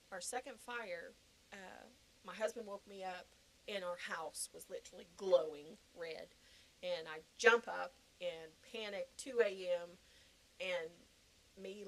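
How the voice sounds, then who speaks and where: A woman's voice: lowish voice, a bit bright, a little hiss or hum, poor audio. Earnest woman telling her personal story. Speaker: podcast guest giving personal testimony. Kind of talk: personal testimony, conversational storytelling.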